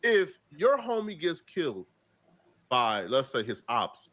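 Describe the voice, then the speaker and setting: A man's voice: on the high side, big pitch swings, slow, fairly bright tone. A man giving casual reaction commentary on a court case.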